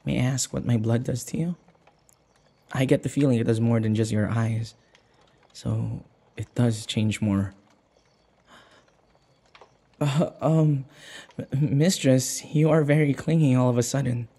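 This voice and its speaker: A man playing a timid, submissive human in an intimate audio roleplay, halting and stammering, with a breathy, husky voice. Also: mid-range voice, mellow. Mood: gentle, curious.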